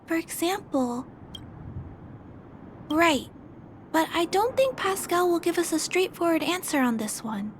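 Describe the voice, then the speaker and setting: A woman voicing a gentle, curious young girl in a video game, polite and musing thoughtfully aloud. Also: extremely high pitch, lively intonation, a little slow, pauses often, slight background noise. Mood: curious, pondering. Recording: game music behind the voice.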